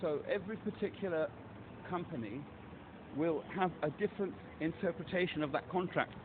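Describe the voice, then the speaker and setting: A man's voice: on the high side, moderate pace, background noise. A man on a phone call, pressing his complaint urgently and repeating himself. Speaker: caller. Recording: tinny phone line.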